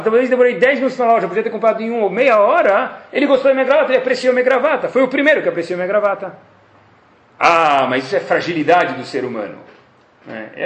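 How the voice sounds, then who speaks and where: A Brazilian man teaching a class as a rabbi, on the higher side for a man, his voice leaping up and dropping low as he acts out the voices in his stories. He speaks with a Brazilian Portuguese accent, and the room around him rings with echo. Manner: animated storytelling, acts out quoted dialogue. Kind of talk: Torah class lecture.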